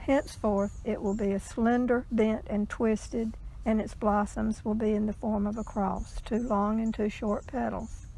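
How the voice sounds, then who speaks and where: A woman reading a legend aloud in a measured storytelling style, with the odd stumble. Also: on the high side, level tone, creaky, mellow, low-quality recording.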